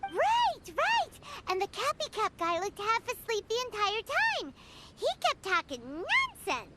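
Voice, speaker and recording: A woman voicing a tiny, excitable fairy companion girl with a squeaky childlike voice in a video game. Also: a little slow, very noisy, heavily acted. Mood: excited, urgent. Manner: bubbly, exclamatory, refers to self by name. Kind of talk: video game cutscene acting.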